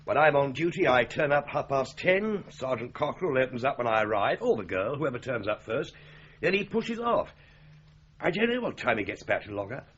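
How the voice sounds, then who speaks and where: A man's voice: mid-range voice, sing-song, normal speed, a bit bright. An easygoing British office lawyer in a radio drama. He talks casually with plenty of rise and fall. The sound is slightly muffled and hissy. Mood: offhand. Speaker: actor in a radio crime drama.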